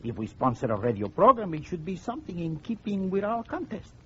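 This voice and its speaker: An actor playing a hesitant, formal male songwriter and sponsor in a 1940s radio comedy, speaking in measured phrases. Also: high voice, big pitch swings, pushed and bright, heavily acted. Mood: doubtful. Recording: muffled, slightly noisy old radio recording.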